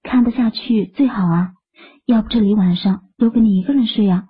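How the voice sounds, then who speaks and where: A man voicing a doting young wife in a high falsetto, teasing and affectionate. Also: a little fast, slightly rough, dull audio.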